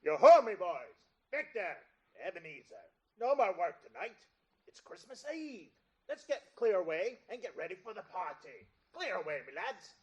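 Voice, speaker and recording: An actor playing a hearty, exuberant old master of a warehouse in a radio play. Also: male voice, sing-song, moderate pace, slightly rough, heavily acted. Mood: jovial, commanding. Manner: booming, exuberant, generous. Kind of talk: 1930s radio play acting.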